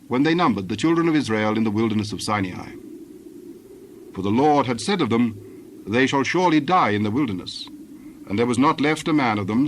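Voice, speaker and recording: A man narrating dramatized scripture in a formal, measured recitation. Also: mid-range voice. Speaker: Bible narrator. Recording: clear ambient noise behind narration.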